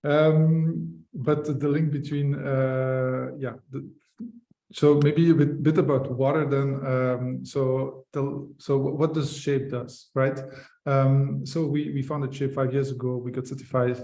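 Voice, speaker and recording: Belgian founder, male, mellow, halting delivery with restarts, roomy echo. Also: mid-range voice, little pitch movement. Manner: hesitant, many fillers and restarts. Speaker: company founder guest. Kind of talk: casual webinar interview.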